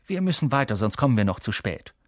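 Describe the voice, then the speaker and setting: An irritable young schoolboy from a storybook, played by a male narrator, blunt and curt. He grumbles in a husky voice with lively rises and falls. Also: medium pitch, fast, dry recording, studio quality.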